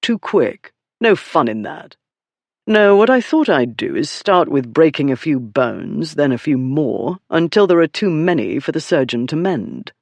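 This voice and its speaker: A male narrator voices an aristocratic duke from a novel. His voice is cool and low, carrying easily, with an unhurried, dry disdain and calm authority, recorded without any room sound. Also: vocal fry, dry recording, heavily acted. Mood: cool menace.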